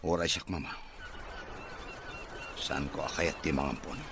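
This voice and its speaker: An actor playing a bitter, wealthy, distrustful son in a radio drama, brusque and scornful in manner. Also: male voice, rumbling low, fairly even intonation, unhurried, background noise.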